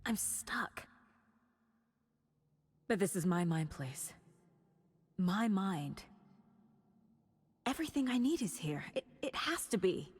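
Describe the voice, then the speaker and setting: An actress playing a determined woman detective in a video game, thinking aloud in short, intense, measured sentences. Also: mid-range voice, sing-song, darkish tone, breathy edge, exaggerated voice. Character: determined woman detective reasoning through a case. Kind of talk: dramatic game monologue.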